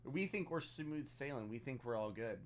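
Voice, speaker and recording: A man playing a tabletop game, bantering in character casually and comically, often thinking aloud in halting phrases. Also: medium pitch, animated, slight background noise.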